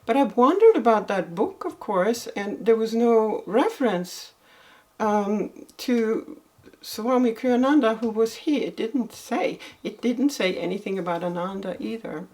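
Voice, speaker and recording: An older woman from northern Sweden with a Swedish accent, gently telling her life story as an interview guest. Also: a little high, expressive. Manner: gentle, unhurried storytelling with soft laughs.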